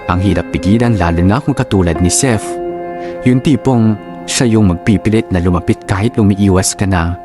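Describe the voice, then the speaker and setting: A man narrating a love letter for a radio drama in a measured, sentimental, reflective way, as the conflicted confessor. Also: sits low, lightly acted. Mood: wistful, conflicted. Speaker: storyteller. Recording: clear music bed under narration.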